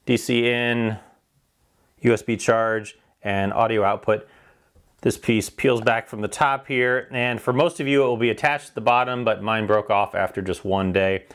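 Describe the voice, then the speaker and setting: A man's voice: lowish voice, a bit bright. A man informally walking through a product by hand, explaining it in a plain-spoken, practical way. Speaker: product reviewer. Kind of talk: casual hands-on product demonstration.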